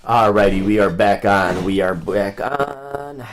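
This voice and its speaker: Very deep-voiced man, straight to the point. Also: slow pace. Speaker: host of a live phone-call audit stream. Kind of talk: phone requests and casual livestream commentary.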